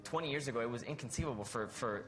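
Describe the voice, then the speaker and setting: A man's voice: mid-range voice, rapid-fire, fairly bright tone. A young man speaking conversationally on a discussion panel, thoughtful and often checking for agreement as he gives his opinion. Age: young adult. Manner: thoughtful, frequently seeks agreement. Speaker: youth activist panelist. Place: live conference panel.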